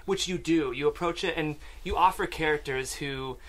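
A woman's voice: sits low, normal speed, poor audio. Earnest, articulate woman on a panel. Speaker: panel co-host. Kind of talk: casual panel discussion. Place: talk-show studio.

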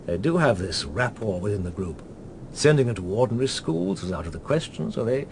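A man's voice: fairly low pitch, big pitch swings, brisk, creaky, background noise. An actor with a British accent playing a wry, knowing man in a radio drama, speaking in a clipped, dry way. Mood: explanatory. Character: wry, knowing man briefing an old acquaintance.

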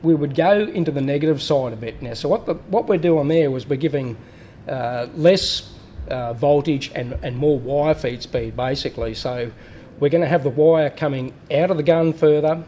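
A man's voice: on the high side, normal speed, dark tone, a little hiss or hum. A man in a workshop explaining a welding machine step by step, plain-spoken with fillers and restarts. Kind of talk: hands-on technical explanation.

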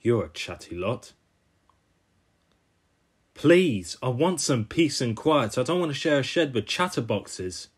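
A man acts a grumpy cartoon engine with no patience for company. The delivery is irritable and unhurried. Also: mid-range voice, sing-song, husky. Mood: irritated. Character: grumpy engine craving peace and quiet.

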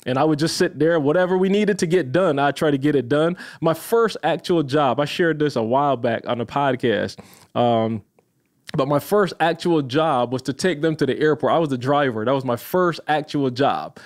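A man from Barbados, a podcast guest in his thirties, telling the story of his career in a lively, casual way. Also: on the high side, moderate pace. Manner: animated, addresses listeners as y'all, laughs often.

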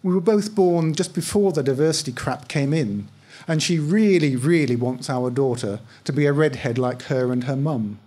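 A middle-aged man with a husky voice, reading a first-person short story aloud in a conversational, wry way. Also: fairly high pitch, normal speed, mellow. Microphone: microphone on stand, close.

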